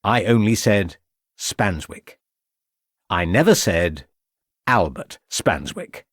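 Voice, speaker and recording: A man voicing a shrewd male police inspector who questions in a measured, pointed way. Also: fairly low pitch, very animated, no room sound, clean studio sound. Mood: stern.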